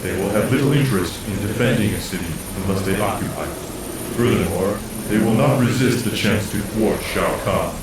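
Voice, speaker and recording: A solemn spirit guide, voiced by a man for a video game. His low voice commands with grave formality. It echoes heavily and sounds distant, with clear noise around it. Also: fairly quick, natural voice. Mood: solemn, instructive. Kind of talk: video game cutscene acting.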